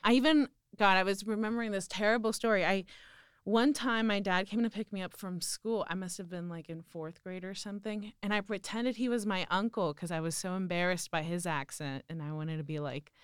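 A woman's voice: medium pitch, fairly quick, clean tone, no echo, studio quality. A young woman with a Mexican Spanish accent, speaking Spanish natively and chatting casually as an interviewee, full of "like" and "um". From central Mexico. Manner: rambling, lots of "like" and "um". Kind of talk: casual conversation.

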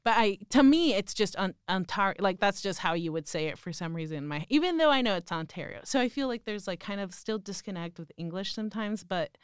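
A woman's voice: mid-range voice, animated, fairly quick, few pauses, clear, resonant tone, no echo, clean studio sound. A young woman with a Mexican Spanish accent, speaking Spanish natively and chatting casually as an interviewee, full of "like" and "um". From central Mexico. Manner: rambling, lots of "like" and "um". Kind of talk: casual conversation.

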